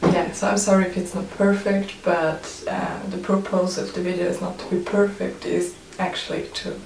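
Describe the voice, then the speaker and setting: A woman's voice: lowish voice, flat delivery, normal speed, vocal fry, darkish tone. A Swiss woman speaking non-native English with a Swiss accent in a hesitant, casual personal vlog manner. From Switzerland. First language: German and French. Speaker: photographer. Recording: roomy, distant mic, faint noise.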